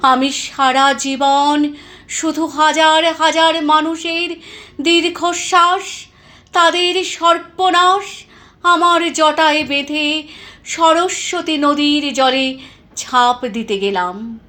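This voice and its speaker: A woman reciting poetry in a deliberate, expressive, dramatic style with weighted pauses. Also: high voice.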